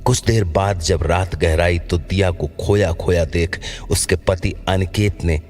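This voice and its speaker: A man's voice: sits low, unhurried, darkish tone, faint music behind. A man narrating a scripted horror story.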